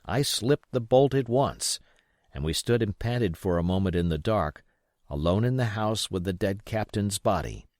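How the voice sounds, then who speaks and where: Male narrator, adventure novel. Fairly low pitch, highly varied delivery, dry recording. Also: expressive, normal speed, a little mellow, no room sound. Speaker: audiobook reader. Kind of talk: expressive scripted narration of a classic adventure novel.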